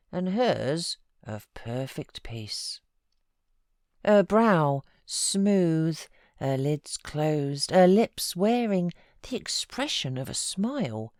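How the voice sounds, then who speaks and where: Female British audiobook narrator, low register, measured literary storytelling at a slow pace. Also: darkish tone, no room sound, clean studio sound. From United Kingdom. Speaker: audiobook narrator and voiceover artist.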